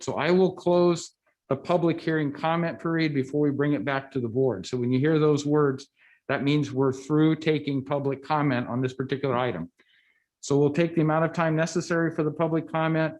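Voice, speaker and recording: Man chairing a board meeting. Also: a little high. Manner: deliberate with frequent fillers. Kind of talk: procedural meeting chair remarks.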